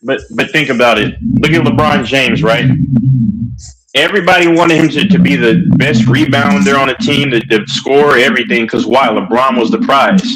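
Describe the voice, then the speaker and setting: A man on a livestream debate, talking in an animated, emphatic way with many restarts. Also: medium pitch, normal speed, a crowd in the background, rough recording. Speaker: streamer.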